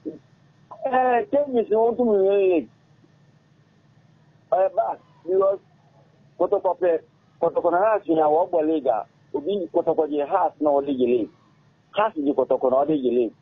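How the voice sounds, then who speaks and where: A middle-aged man with a Ghanaian accent, an interview guest speaking in a halting, repetitive way and mixing languages mid-sentence, in a radio studio. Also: high voice, breathy edge. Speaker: interview guest, former footballer. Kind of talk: casual interview talk. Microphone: studio microphone on arm. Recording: muffled phone-like audio.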